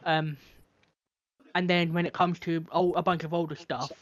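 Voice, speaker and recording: A man's voice: on the high side, flat delivery, normal speed, lo-fi sound. A man co-hosting a podcast in casual chat, tentatively searching for his words. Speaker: podcast co-host.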